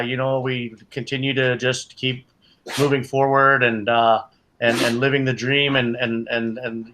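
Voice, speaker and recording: Bright, forceful man, rambling and earnest. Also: mid-range voice, a little hiss or hum, rough recording. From Canada. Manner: rambling, earnest, repeats phrases. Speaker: jiu-jitsu coach. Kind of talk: casual chat.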